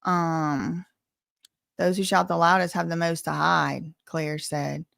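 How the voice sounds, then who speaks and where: A woman's voice: sits low, level tone, slow, gravelly, a bit bright, no room sound, studio quality. An American woman hosting a casual live stream, chatting with viewers in a colloquial, sassy way. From Louisiana, United States. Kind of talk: casual live chat reading and answering viewer messages.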